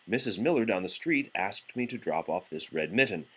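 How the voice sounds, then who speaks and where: A man's voice: deep voice, lively intonation, moderate pace, fairly bright tone, breathy edge, full character voice. A man voicing a friendly, plainspoken neighborhood workman from a children's storybook. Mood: helpful.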